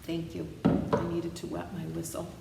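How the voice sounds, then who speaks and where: A woman's voice: lowish voice, monotone, a little slow, creaky. A woman reading a children's story aloud, with chatty asides to her listeners. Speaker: storybook reader. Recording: echoey room with faint noise.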